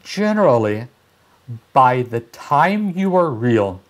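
A man in his sixties reading a children's story aloud expressively, with deliberate pauses and dramatic emphasis. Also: mid-range voice, big pitch swings. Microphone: lapel mic.

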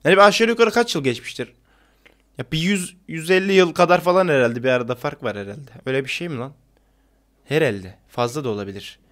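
A man's voice: a little high, expressive, quick pace, a bit bright. A man in his twenties giving casual, slangy, jokey reaction commentary as a video host. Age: 20s. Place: small indoor room with brick-patterned wall.

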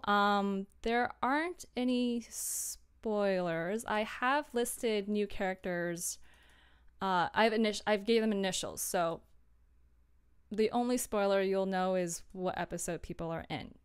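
A woman's voice: mid-range voice, measured pace, clean tone. A young adult woman giving an informal, chatty livestream tutorial from a room at home, with a self-deprecating, giggly manner. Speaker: audio drama creator and livestream host. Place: small home room, indoors.

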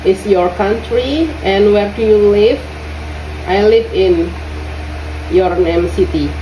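A woman from Indonesia with an Indonesian accent teaching English to young students in an online lesson. She speaks haltingly, repeats her questions and fills her pauses with "uh". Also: medium pitch, lo-fi sound. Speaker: English tutor. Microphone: lesson audio recorded on a phone. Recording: roomy phone recording with faint noise.

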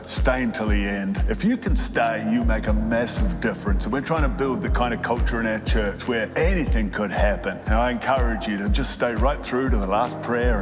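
A man with an Australian accent making encouraging church announcements to the congregation. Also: on the low side. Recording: big hall with loud music.

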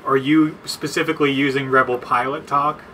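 A man's voice: mid-range voice, steady tone, fairly quick, over a noisy crowd. A man commenting casually on a video game with friends, dry and full of references. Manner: dry, reference-heavy joking. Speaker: let's play commentator. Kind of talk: casual gaming banter.

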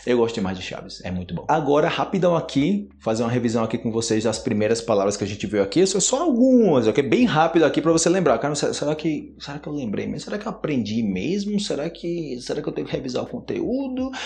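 Male host, 30s, casual to-camera vocabulary lesson, animated and chatty. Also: medium pitch. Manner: lively, gestures a lot, frequent "né?" and "ok?" check-ins. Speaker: Portuguese teacher, channel host. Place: small indoor room, plain wall. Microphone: close camera framing, upper body.

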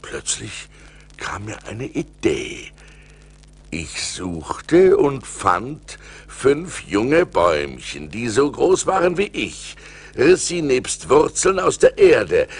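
An actor playing a shipwrecked sailor who recounts his perils in a husky, theatrical, suspenseful way, in an audio drama from a vinyl record. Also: medium pitch, heavily acted. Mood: determined. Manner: dramatic, suspenseful delivery with deliberate pauses. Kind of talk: theatrical radio-play storytelling narration.

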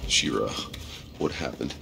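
A middle-aged man acting a tough, steadfast soldier in a cinematic video game, gravelly, terse and commanding. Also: a bass voice, unhurried, heavily acted. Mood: terse resolve. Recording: loud music over voice.

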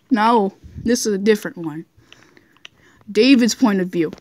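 A woman's voice: medium pitch, measured pace, darkish tone, no room sound, clean studio sound. A woman doing a casual, goofy read-aloud of fan fiction, giggly and often stumbling over her words. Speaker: amateur reader of fan fiction.

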